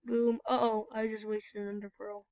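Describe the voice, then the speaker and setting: A woman's voice: mid-range voice, level tone, moderate pace, no room sound. A woman with a cold, nasal and congested, rambling casually as she comments on her own gameplay for a video. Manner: chatty, rambling, apologetic. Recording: dull, lo-fi, dry recording.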